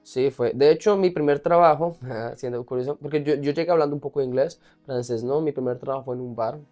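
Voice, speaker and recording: Colombian man, mellow voice, casual storyteller. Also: medium pitch, fairly quick, faint music behind. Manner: candid, often laughing as he talks. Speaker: interview guest, entrepreneur.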